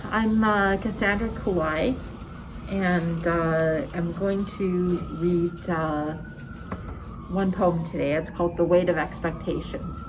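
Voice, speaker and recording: A woman's voice: on the low side, fairly even intonation, slow, dull audio, noisy background. A middle-aged woman, an amateur poet, reading a confessional poem aloud.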